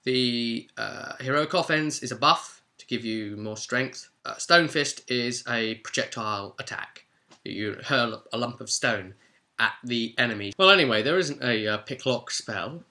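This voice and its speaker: A man giving an animated, chatty, informal recap of a role-play session as game master. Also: mid-range voice, unhurried. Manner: chatty, acts out players' exchanges.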